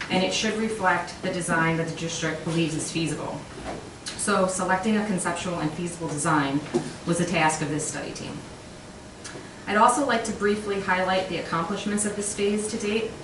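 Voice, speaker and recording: A woman giving a formal, measured project update at a school board meeting. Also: on the low side. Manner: measured, read from prepared notes. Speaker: project lead presenting an update. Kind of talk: formal presentation. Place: meeting room. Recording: echoey room from far off, noisy.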